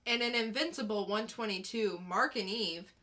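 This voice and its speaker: A woman's voice: on the high side, normal speed, bright, forceful tone. A woman co-hosting a podcast, casually reviewing comic books and reading short blurbs. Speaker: podcast co-host.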